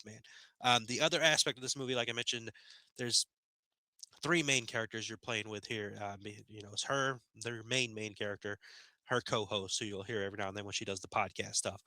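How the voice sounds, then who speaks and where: A man reviewing a movie in a relaxed, chatty way, often tossing in "man". His voice is very bright and forward, a little nasal from a recent sickness. The sound is close and dry, with no room around it. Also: fairly low pitch, no echo. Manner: laid-back, chatty, says "man" a lot. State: slightly nasal, getting over a sickness. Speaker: movie review channel host. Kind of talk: casual spoken film review. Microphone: headphones on, desk podcast mic.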